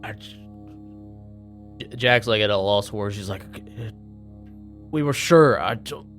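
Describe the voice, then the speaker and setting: Male gamer, lowish pitch, informal table talk, light music underneath. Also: moderate pace, fairly bright tone. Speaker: player in a tabletop role-playing game. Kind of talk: casual table chat, describing his character.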